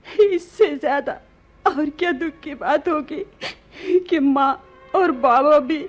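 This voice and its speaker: An actress playing a young lovesick princess in a radio drama, plaintive and insistent, with theatrical Urdu diction. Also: female voice, high-pitched, takes it slowly, lo-fi sound, soft background music. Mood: hurt, plaintive.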